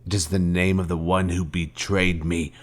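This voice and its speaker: An actor playing a vengeful fallen Jedi master in a video game, cold and commanding, with grave, deliberate, formal speech. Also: male voice, low-pitched, flat delivery, natural voice. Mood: grave, accusing. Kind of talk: scripted video game villain dialogue.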